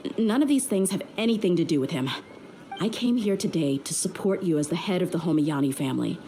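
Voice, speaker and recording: A woman's voice: on the low side, animated, brisk, mellow, slight background noise. An actress playing a tough, candid young female mercenary who speaks in a direct, earnest, polite way, over game music. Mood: defiant.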